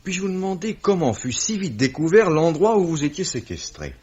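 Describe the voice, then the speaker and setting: An actor playing a middle-aged police commissioner, formal, urbane and probing, in 1950s French radio drama style. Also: male voice, on the high side, very animated, normal speed, darkish tone. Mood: courteous. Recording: dull, slightly rough old radio recording.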